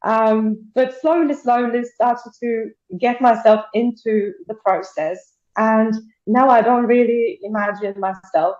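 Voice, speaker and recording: A woman's voice: on the high side, steady tone, slow pace, darkish tone, reverberant, highs cut off. Bulgarian-born woman chatting on a video call. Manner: chatty, laughs often, restarts sentences. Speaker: interview guest. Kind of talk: casual interview over video call.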